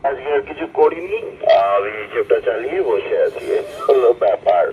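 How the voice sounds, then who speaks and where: A man's voice: medium pitch, expressive, normal speed. A man with a Bengali Indian accent chatting casually over a two-way amateur radio link as a fellow operator. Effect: two-way VHF radio transmission. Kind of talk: casual ham radio conversation. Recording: tinny, noisy VHF repeater radio link.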